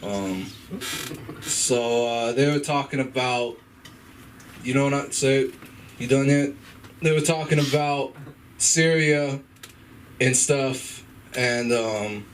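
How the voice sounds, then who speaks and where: A young man in his twenties works through an open-mic stand-up set, halting, full of fillers and casual swearing, and chuckling at his own jokes. He sounds some way from the microphone, and a faint room hum sits under his voice. His pitch sits in a middling range for a man. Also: a bit bright, distant mic, rough recording. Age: 20s. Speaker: stand-up comedian. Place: comedy club stage.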